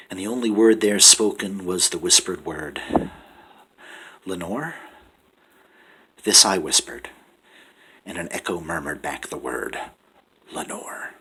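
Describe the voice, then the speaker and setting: A man giving a dramatic poetry recitation, often dropping to a whisper and rising to loud pleading passages. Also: sits low, slightly rough, poor audio. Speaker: poetry reader.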